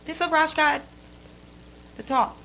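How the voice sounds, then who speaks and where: A woman with a Bahamian accent hosting a live radio show with casual banter, talking in a playful, animated, colloquial way. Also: sits high, measured pace, slight background noise.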